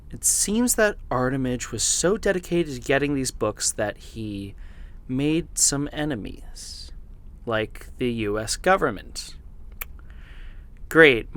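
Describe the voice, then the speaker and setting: An actor playing a weary, guarded researcher who dictates a deliberate audio log in a horror podcast. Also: male voice, mid-range voice, fairly bright tone. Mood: analytical, wry. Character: weary male researcher recording audio logs, guarded, brooding. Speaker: investigator narrating an audio journal.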